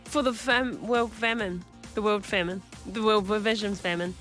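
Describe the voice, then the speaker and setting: A woman's voice: medium pitch, fairly even intonation, normal speed, a bit bright, faint music behind, lightly acted. A woman playing a flustered, overwhelmed teen girl. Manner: flustered, repeats herself. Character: anxious teenage schoolgirl, flustered and overwhelmed. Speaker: radio host in a skit.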